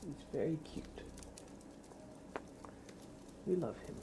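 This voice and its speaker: A man softly whispering as an ASMR livestreamer, chatting affectionately as if to a pet. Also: fairly high pitch, expressive, slow pace, background noise. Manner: affectionate baby-talk to a pet cat.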